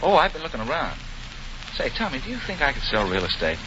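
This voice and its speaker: A man's voice: mid-range voice, huge pitch swings, fast talker. Flustered eager american con man, 1940 radio comedy, lots of hiss and noise. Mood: sincere. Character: glib racketeer gone soft, flustered and eager. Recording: muffled, noisy vintage radio broadcast.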